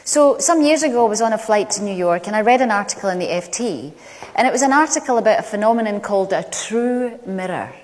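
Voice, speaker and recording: A middle-aged woman with a Glaswegian Scottish accent gives a prepared stage talk, telling stories in a measured, conversational way with deliberate pauses. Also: mid-range voice, expressive, a little mellow. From Glasgow, Scotland. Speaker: public speaker. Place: large indoor stage venue. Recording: echoey hall, long reverb.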